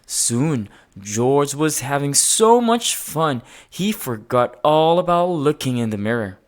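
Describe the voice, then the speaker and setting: A woman with a low voice narrates a children's picture book. She takes her time, and her pitch moves in wide, lively swings. Key words get dramatic emphasis. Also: slow. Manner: expressive, dramatic emphasis, sound-effect words. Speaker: storybook reader. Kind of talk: read-aloud children's story narration.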